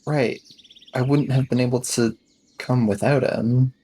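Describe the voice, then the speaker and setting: A man roleplaying a matter-of-fact, slightly defensive schoolboy in a 1980s sci-fi mystery tabletop game, husky and halting. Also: medium pitch, steady tone, warm tone. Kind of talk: improvised in-character tabletop roleplay.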